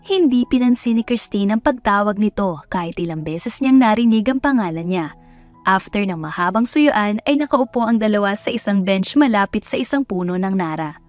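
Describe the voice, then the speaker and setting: A woman's voice: mid-range voice, lively intonation, moderate pace, faint music behind. A woman storyteller reading scripted romance narration into a close microphone.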